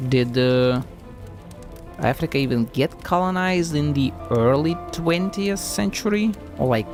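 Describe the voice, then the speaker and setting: A man's voice: medium pitch, a little mellow. A man giving thinking-aloud commentary as a game streamer, hesitant and musing.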